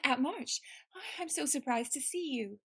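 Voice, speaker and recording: A woman's voice: high-pitched, animated, measured pace, breathy edge, exaggerated voice. A woman playing a shy young woman who stands up for herself, in an audio drama. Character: shy young woman finding her courage. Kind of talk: radio drama acting.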